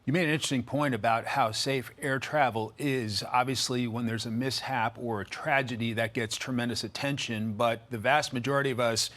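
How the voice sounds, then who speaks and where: A man anchors a television news interview. His voice is mid-pitched, and he sets up each question in a measured, conversational manner. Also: fairly even intonation, fairly bright tone.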